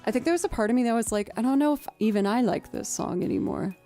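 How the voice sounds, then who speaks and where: A young woman chats loosely on her livestream, musing out loud with plenty of ums and likes. Her naturally low voice has a soft, warm tone. Faint music sits underneath. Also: expressive, quick pace. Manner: chatty, thinking aloud, with fillers. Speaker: streamer and musician. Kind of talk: casual livestream chat.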